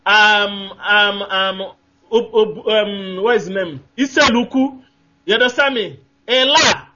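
Nigerian-accented male caller, bright tense tone, insistent and repetitive, muffled phone-line sound. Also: high voice. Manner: emphatic, repetitive, gropes for names with fillers. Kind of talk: call-in radio talk.

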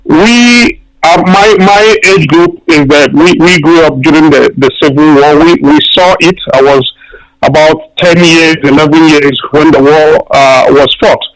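An older Nigerian man phones in to a radio show, talking earnestly and slowly with frequent pauses. His voice is notably high for a man. He sounds like a man in his sixties. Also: poor audio. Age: 60s. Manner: earnest, slow, with many pauses. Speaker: radio caller. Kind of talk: call-in radio talk. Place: London.

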